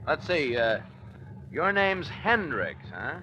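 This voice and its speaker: An actor playing a folksy, laconic highway patrolman who casually probes, in 1940s radio drama style. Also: sing-song, slow, sharp tone, heavily acted. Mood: casual, probing. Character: folksy, casual male highway patrol cop, quietly probing. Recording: muffled, noisy 1940s radio recording.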